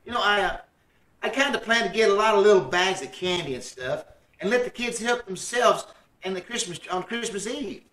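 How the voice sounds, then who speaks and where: A good-natured, folksy man played by an actor in old radio comedy style, with hesitant phrasing. His voice sits high for a man and comes through a distant, echoey video call. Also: lively intonation, a little fast, bright, forceful tone. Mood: kindly, pleased.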